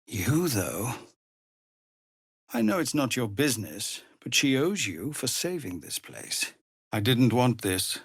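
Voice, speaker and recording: A male actor playing a weary, honourable leader of embattled refugees in a video game, grave, measured and formal, with a husky voice that turns gravelly under strain. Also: mid-range voice, big pitch swings, no room sound, clean studio sound, exaggerated voice. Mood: grave, earnest. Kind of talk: dramatic game dialogue.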